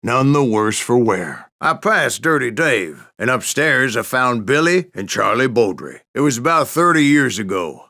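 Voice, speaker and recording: An actor playing a grizzled old gunslinger, telling boastful tales in a gravelly American Western drawl with a folksy, sardonic manner. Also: male voice, medium pitch, bright, forceful tone. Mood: wry, gruff. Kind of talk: dramatic first-person storytelling.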